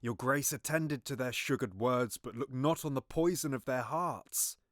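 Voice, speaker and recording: A man playing a smooth, flattering, sinister royal uncle, speaking measured verse in a Shakespearean audio drama. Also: mid-range voice, dry recording, clean studio sound, close to an everyday voice. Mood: smoothly warning.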